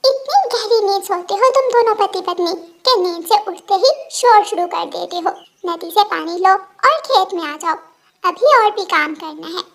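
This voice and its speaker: A woman's voice: very high-pitched, animated, normal speed, a bit bright, full character voice. A woman voicing a hardworking, scolding female bird in a children's cartoon. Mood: impatient.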